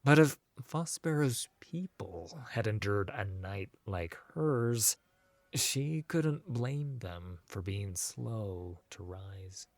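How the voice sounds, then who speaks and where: A man narrating a scripted fantasy audiobook in a measured, dramatic storytelling manner. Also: fairly low pitch, lively intonation, no echo.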